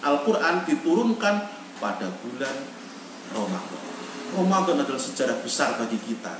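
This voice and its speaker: A man's voice: mid-range voice, big pitch swings. Echoey, distant male preacher. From Indonesia. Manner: emphatic, deliberate oratory with repeated phrases for emphasis. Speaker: Islamic preacher. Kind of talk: online sermon on history. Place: echoey room, online video meeting. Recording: echoey, noisy room, distant online-meeting audio.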